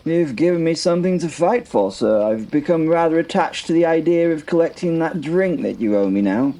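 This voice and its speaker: Man acting a wry knight with lively, swooping pitch. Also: moderate pace. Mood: dry, reluctant warmth. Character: wry, battle-hardened male medieval knight, loyal, thirsty. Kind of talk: audio drama dialogue.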